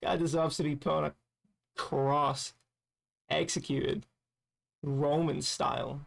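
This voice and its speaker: Tired gravelly-voiced male streamer. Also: on the high side, little pitch movement, takes it slowly. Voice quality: raspy, gravelly edge. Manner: loose, rambling, swears casually. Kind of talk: casual gaming stream chat.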